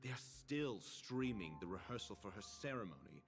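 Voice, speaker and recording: A cool, reserved game informant with a low voice. He reports in a dry, measured way over loud music. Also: big pitch swings, vocal fry. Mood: dry, detached.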